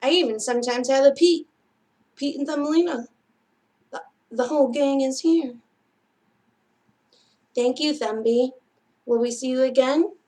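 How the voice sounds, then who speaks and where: A woman with a high voice leads a children's story time. She takes her time, speaking very slowly in a sing-song manner. She addresses her young listeners directly, as a storyteller would. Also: dark tone. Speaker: children's library storyteller and host.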